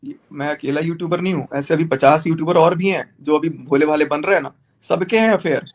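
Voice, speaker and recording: A man's voice: fairly high pitch, brisk. A man speaking insistently in a heated, casual discussion, repeating his phrases to make his point. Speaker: YouTuber in a group call. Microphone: group phone call.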